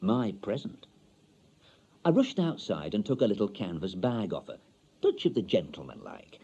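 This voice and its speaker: An actor playing a chatty, wistful older Yorkshire bachelor in a radio monologue, colloquial, confiding and droll. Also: male voice, fairly low pitch, huge pitch swings, moderate pace, mellow, slightly rough, highs cut off, heavily acted. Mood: wry, confiding. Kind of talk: dramatic monologue, radio short story.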